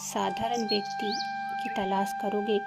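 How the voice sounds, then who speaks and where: A woman's voice: medium pitch, level tone, measured pace, lightly acted. A woman voicing a clever, principled young princess in a cartoon moral story, formal and firm as she reasons. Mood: firm advising. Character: clever, principled young princess advising her royal father. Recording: loud music behind the voice.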